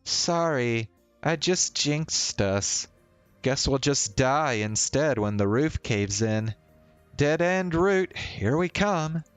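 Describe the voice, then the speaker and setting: Man playing a young woman for visual novel: friendly, playful, teasing, raised pitch, lilting, faint music underneath. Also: animated, slow, smooth, no echo. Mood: wry, playful. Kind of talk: visual novel read-aloud.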